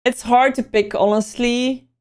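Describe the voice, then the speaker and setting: A woman's voice: a little high, fairly even intonation, a little slow, a little mellow. A young Belgian woman, chatting casually on a livestream, rambling and answering chat messages as they come in. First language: Dutch. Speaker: livestream host.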